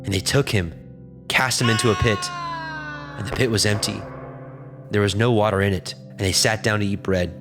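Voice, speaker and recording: A man narrating scripture as a scripted reading, in a measured, solemn storytelling manner. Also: on the low side, steady tone, music in the background.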